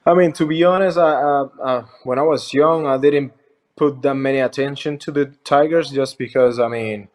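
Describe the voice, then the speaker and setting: Young guy, mid pitch, friendly and halting, lots of "you know". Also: fairly even intonation, a little mellow. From Puerto Rico. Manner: halting, many fillers and restarts, friendly. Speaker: professional baseball pitcher, interview guest. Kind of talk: casual interview answers.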